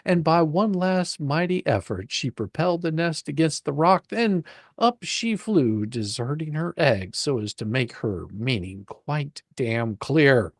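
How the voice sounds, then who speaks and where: A man narrating a story as a dramatic reading, with a playful, expressive, theatrical manner. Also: a little high, measured pace, no room sound, clean studio sound. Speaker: reader and host of a live dramatic reading.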